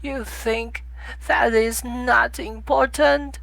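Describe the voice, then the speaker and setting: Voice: mid-range voice, fairly even intonation, drawn-out words, vocal fry, a bit bright, dry recording, pitched above the performer's own voice, full character voice. A reader voicing an earnest, indignant little prince, a small boy, with an insistent, reproachful way of speaking in a storybook. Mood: passionate, upset. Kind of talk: storybook audiobook character voice.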